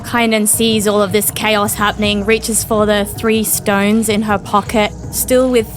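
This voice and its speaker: Female tabletop player, medium pitch, deliberate descriptive narration of character actions, music underneath. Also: flat delivery. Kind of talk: descriptive third-person narration of her character. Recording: music behind the voice.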